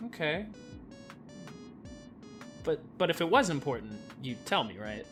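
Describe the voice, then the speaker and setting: A woman's voice: deep voice, big pitch swings, a little slow, a bit bright, exaggerated voice. A woman voicing an eager, insecure, boyish young man in an audio drama, earnest and a little hesitant. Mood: awkward, insecure. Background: clear music.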